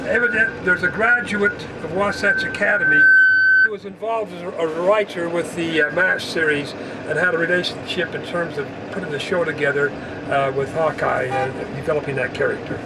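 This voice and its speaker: A man's voice: fairly high pitch, huge pitch swings, normal speed, very noisy. A man talking informally to visitors, in the role of a guide. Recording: loud music over location sound. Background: loud music.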